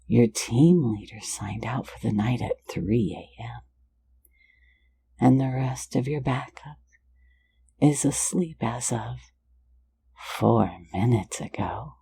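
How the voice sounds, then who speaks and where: A woman's voice: extremely low pitch, slow pace, vocal fry, pauses often, warm tone, slightly rough, heavily acted. A woman voicing a sly, playful phantom thief in an ASMR roleplay, mostly whispering close to the mic in a teasing, soothing way. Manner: teasing, soothing, lulling listener to sleep.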